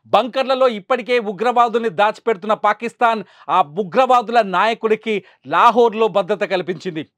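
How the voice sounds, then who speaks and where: High-pitched man, emphatic news presenter. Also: normal speed, fairly bright tone, no room sound, soft background music. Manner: rhetorical, repeating phrases for emphasis.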